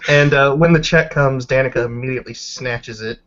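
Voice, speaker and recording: A man casually improvising narration as a player in a tabletop roleplay, descriptive and halting, on a dull, slightly noisy mic. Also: medium pitch, fairly even intonation, fairly bright tone. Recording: dull lo-fi mic with background noise.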